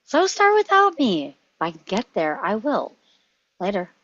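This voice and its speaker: Cocky junior male agent, played by a woman: offhand authority, lowish and very animated, dry sound. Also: measured pace, dry recording, muffled recording. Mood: casual, offhand. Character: young male American junior CIA field officer, cocky. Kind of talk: table read of a film script.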